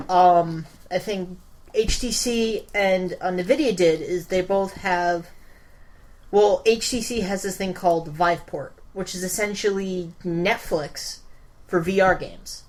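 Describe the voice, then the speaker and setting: A woman's voice: on the low side, measured pace, a little mellow. A woman co-hosting a podcast, explaining things in casual tech chat with hesitant, informal phrasing. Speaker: podcast co-host. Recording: noisy room ambience.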